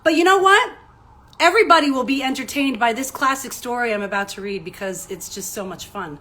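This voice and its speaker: A woman's voice: fairly high pitch, expressive, fairly quick, pushed and bright, slight background noise. A woman hosting a children's story hour, chatty and self-deprecating, her voice a little groggy from lack of sleep. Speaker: children's entertainer. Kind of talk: live-streamed story hour chat.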